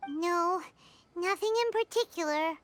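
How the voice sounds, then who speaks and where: A woman voicing a tiny childlike fairy companion in a video game, squeaky, eager and bubbly. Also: a little slow, slight background noise. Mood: curious. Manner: bubbly, expressive. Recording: game audio over background music.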